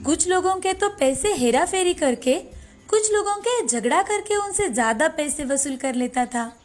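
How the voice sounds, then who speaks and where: A woman narrating a children's moral story in a steady, expressive scripted reading. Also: sits high.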